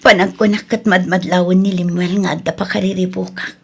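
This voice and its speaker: A woman playing an anguished, secretive wife and mother in a radio drama, speaking haltingly with a whispery, tearful delivery. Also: lowish voice, level tone, creaky, slightly rough, soft background music. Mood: nervous, reassuring. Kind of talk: radio drama acting in Ilocano.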